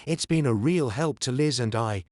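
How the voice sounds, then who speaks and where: A man's voice: medium pitch, animated, moderate pace, a little mellow, no echo, natural voice. Man acting a friendly churchgoer, dry sound. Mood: encouraging. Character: friendly churchgoing man recommending a Bible study. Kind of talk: audio drama dialogue.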